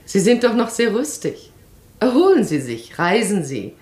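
An actress playing a self-assured, perceptive baroness in a radio play, speaking decisively, eloquently and with emphasis. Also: female voice, mid-range voice, big pitch swings, normal speed, darkish tone, lightly acted. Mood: polite, practical.

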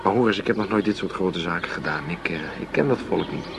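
An actor in a radio drama playing a cocky, short-tempered underworld go-between with a blunt, streetwise way of talking. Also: male voice, low voice, fast, creaky, noisy background. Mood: frank, conspiratorial. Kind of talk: Dutch radio drama dialogue.

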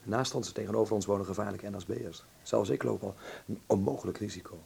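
An actor in a radio drama playing an anguished, idealistic wartime helper, intense, hushed and pleading. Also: male voice, fairly low pitch, steady tone, fast, gravelly, husky, full character voice. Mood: weary, worried. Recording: hissy old radio play recording.

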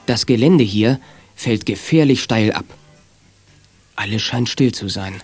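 A man's voice: fairly low pitch, measured pace, soft background music. A man narrating a children's adventure radio play in a scripted, dramatic storyteller's style. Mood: scene-setting.

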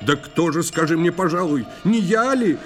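An actor playing a boisterous, mischievous and menacing water spirit uncle in a children's verse audio play, theatrical and sing-song. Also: male voice, fairly high pitch, lively intonation, normal speed, a bit bright, soft background music. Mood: indignant, taunting.

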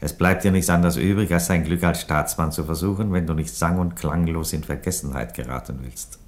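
Very deep, measured Roman emperor played by a man. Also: natural voice. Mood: calm reasoning. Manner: measured, philosophical, drily courteous. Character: calm, ironic, reasonable Roman emperor who loves chickens. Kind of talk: theatrical radio play dialogue.

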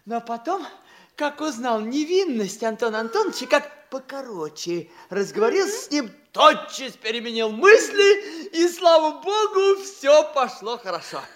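Very high-pitched, excitable little gentleman. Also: measured pace, exaggerated voice. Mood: gossipy, confiding. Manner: breathless, obsequious, self-important. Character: excitable, gossipy, servile little provincial gentleman. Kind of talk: theatrical classic stage comedy acting.

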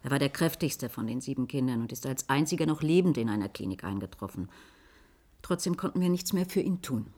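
An actress playing a serious, cautious female chief physician in a German radio drama, sober and measured. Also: very deep voice, lively intonation, warm tone. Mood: grave.

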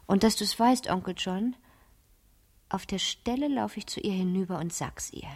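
An actress playing a cunning, self-assured fifteen-year-old girl in a radio play, telling her story in a theatrical, deliberate and breathy way. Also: mid-range voice, close to an everyday voice. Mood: plotting, dramatic. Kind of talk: 1970s German radio play monologue.

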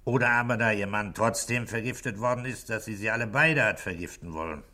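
Deep voiced chief inspector, forceful sharp tone, terse probing questions, 60s german radio play. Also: male voice, fairly quick. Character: authoritative, patient, probing male police chief inspector.

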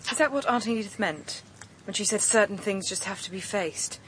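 A woman's voice: mid-range voice, moderate pace, fairly bright tone, a little hiss or hum, lightly acted. An actress playing an anxious, principled young woman in a radio drama, with an earnest, questioning manner. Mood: troubled.

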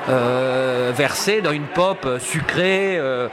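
A man's voice: medium pitch, takes it slowly, noisy background. A man speaking in a radio interview about culture, hesitant, with many fillers and restarts. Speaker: cultural attaché at an embassy.

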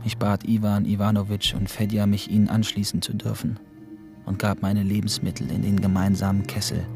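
An actor playing a hungry, sombre labour-camp prisoner who narrates in a literary radio play. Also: male voice, on the low side, monotone, brisk, gravelly. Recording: faint music behind the voice. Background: music.

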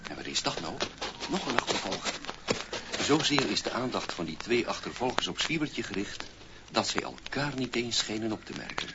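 A man with a Dutch accent giving theatrical, suspenseful scripted narration for a 1960s radio play. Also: lowish voice. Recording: very noisy, dull old vinyl record.

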